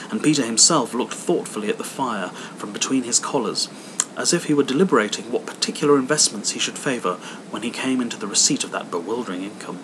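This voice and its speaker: A man's voice: mid-range voice, background noise. A man reading a literary story aloud as scripted audiobook narration. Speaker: audiobook reader.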